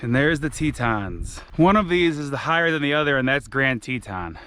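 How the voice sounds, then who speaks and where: A young adult man vlogging a hike outdoors on a GoPro, talking loudly and casually with frequent swearing. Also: medium pitch, animated, moderate pace, clear, resonant tone. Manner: loud, chatty, swears casually. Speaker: vlogger hiking a trail. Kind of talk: casual hiking vlog chat. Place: outdoors on a forest trail. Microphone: GoPro camera.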